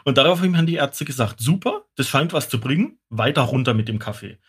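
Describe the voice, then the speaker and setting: A man's voice: medium pitch, expressive, quick pace, husky. A man speaking as an expert podcast guest, explaining things as casual storytelling.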